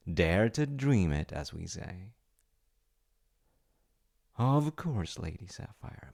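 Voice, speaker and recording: A man playing a polite, eager wizard fiance in an ASMR audio roleplay, with theatrical delivery and soft muttered asides. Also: deep voice, vocal fry, exaggerated voice. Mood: smooth salesman charm. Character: eager, secretly magical wizard fiance running an enchantment business.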